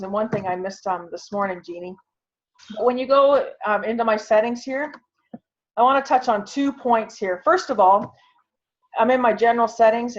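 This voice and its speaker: A woman giving an informal online tutorial, talking conversationally and thinking aloud as she demonstrates over a video call. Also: fairly high pitch. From Canada. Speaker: online class instructor. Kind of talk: informal tutorial with screen sharing. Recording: muffled, lo-fi video call audio.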